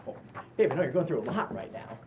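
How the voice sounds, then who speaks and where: A man's voice: medium pitch, animated, quick pace, background noise, lightly acted. A man playing a teasing, supportive roommate in a casual comedy-drama script read, sounding conversational and playfully sassy. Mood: gently frank. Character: Max, exasperated, teasing, supportive male roommate and friend. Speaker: actor at a table read.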